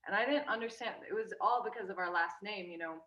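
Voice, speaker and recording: A woman's voice: medium pitch, fast talker, pushed and bright, highs cut off. A young woman, a guest in a casual interview, talking hesitantly with many fillers and restarts. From United States.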